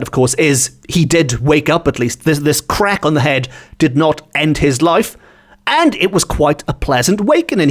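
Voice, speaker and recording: A man from Wales hosting a storytelling podcast, retelling a folk tale conversationally with chatty asides, repeated phrases for emphasis and self-corrections. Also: a little high.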